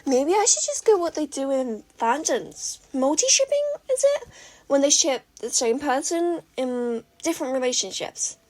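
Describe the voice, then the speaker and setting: A woman voicing an excitable, gossiping teenage girl inventor who talks with breathless emphasis. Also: sits high, normal speed, voice pushed up, poor audio. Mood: gushing.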